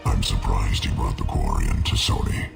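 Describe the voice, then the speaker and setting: An actor playing a sardonic, menacing alien with a gravelly growl in a sci-fi game. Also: male voice, rumbling low, fairly even intonation, moderate pace, heavily acted. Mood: contemptuous. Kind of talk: cinematic sci-fi game acting. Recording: very loud music behind.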